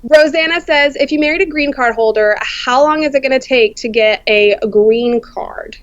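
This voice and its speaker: A woman co-hosting a live stream, briskly reading out viewer questions. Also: high voice, no echo, muffled recording. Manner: brisk, reads questions aloud.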